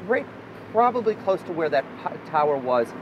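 A man's voice: fairly high pitch, very animated, moderate pace, lo-fi sound. A man in his thirties leading an outdoor walking tour, telling history as a story with lots of fillers and restarts. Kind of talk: casual guided history talk. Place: outdoors on a city street beside a prison wall. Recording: outdoor street with traffic noise.

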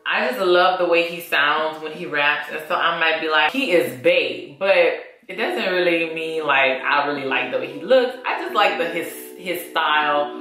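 A woman's voice: deep voice, normal speed, bright, forceful tone. A woman, chatting casually to viewers while doing her makeup. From Louisiana. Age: 30s. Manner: chatty, addresses viewers as y'all. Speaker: beauty vlogger. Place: small indoor room against a plain wall. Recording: very echoey room with music behind.

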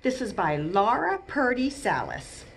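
American lady doing kids storytime, kinda high voice, super animated, takes her time reading. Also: measured pace, slight background noise. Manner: expressive, clearly paced delivery for young children. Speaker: children's librarian and storytime reader. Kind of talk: reading a picture book aloud to children. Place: small bright indoor room.

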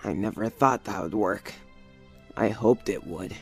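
A man's voice: on the low side, unhurried, vocal fry, breathy edge, soft background music, exaggerated voice. A young man playing an earnest, devoted teenage boy in a cartoon comic dub. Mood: relieved. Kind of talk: fan comic dub voice acting.

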